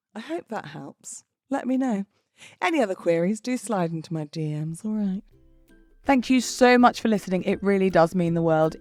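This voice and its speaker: A British woman hosting a podcast solo, talking in a casual, cheeky, expressive and informal way. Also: medium pitch, dry recording, studio quality. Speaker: podcast host.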